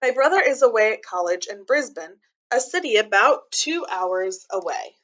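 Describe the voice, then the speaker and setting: Woman, higher-pitched, bright voice, teacher's read-aloud, simple clear explanations for young listeners. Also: animated, normal speed, low-quality recording. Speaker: teacher reading a children's book aloud.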